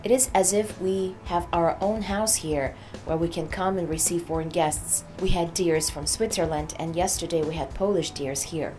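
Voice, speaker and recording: Lowish-voiced woman explaining over faint music. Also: moderate pace, hardly any pauses, mellow. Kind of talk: explanatory feature talk.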